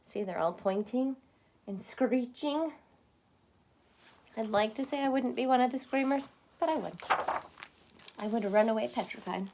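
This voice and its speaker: A woman's voice: on the high side, slight background noise. A woman reading a children's storybook aloud expressively, in the manner of someone explaining it to young listeners. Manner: expressive, pausing to explain words simply. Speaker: storybook reader.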